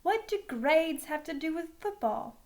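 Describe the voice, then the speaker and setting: A woman's voice: very high-pitched, expressive, unhurried, voice pushed up. A woman voicing a cocky middle school football star who protests dramatically in a children's novel read aloud. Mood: indignant protest.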